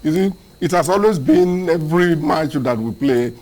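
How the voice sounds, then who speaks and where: A man's voice: a little high, lively intonation, moderate pace, a little mellow. A Nigerian man co-hosting a newspaper review, opinionated and argumentative, firing off pointed questions. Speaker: co-host.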